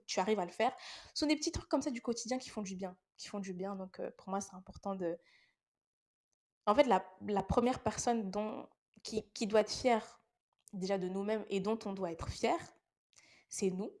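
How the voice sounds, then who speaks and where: A young woman hosts a faith podcast while she has a head cold. She speaks straight to her listeners in an earnest, encouraging tone, and her voice sits at an ordinary middle pitch. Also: a little mellow. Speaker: podcast host. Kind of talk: casual faith talk podcast.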